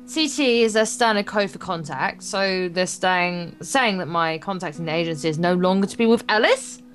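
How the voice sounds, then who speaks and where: A woman's voice: on the low side, normal speed, a bit bright, faint music behind. A young woman co-hosting a let's play, reading visual novel text aloud with playful, casual banter.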